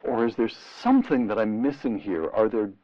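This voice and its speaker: A middle-aged man interviewing in a deliberate, rhetorical and emphatic way, on a lo-fi vintage radio style recording. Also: fairly low pitch. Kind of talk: long-form interview questioning. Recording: dull, lo-fi vintage radio sound.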